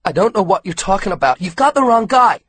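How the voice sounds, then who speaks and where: A man voicing a frightened but defiant fourteen-year-old boy, pitched high and loud, with a husky voice. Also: sing-song, fast talker, exaggerated voice. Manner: high, strained and pleading.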